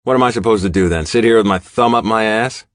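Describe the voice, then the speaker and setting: A man performs a stubborn young outlaw biker with a deep voice. He is hot-headed and forceful, barking and growling his lines in a dry, close audiobook sound. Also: fast, no room sound, natural voice. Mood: angry, defiant.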